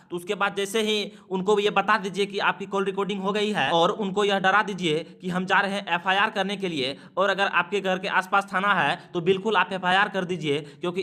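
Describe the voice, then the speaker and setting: A man's voice: high-pitched, sharp tone. A man with a Bihari accent hosting a video, emphatically explaining and repeating warnings to viewers. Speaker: video host giving advice.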